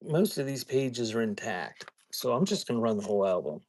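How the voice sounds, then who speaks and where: A man chatting casually in a folksy, halting way as he hosts a live sale and shows items. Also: medium pitch, very animated, a little mellow, a little hiss or hum. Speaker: live auction seller hosting a stream. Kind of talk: casual rambling chat while showing items for sale.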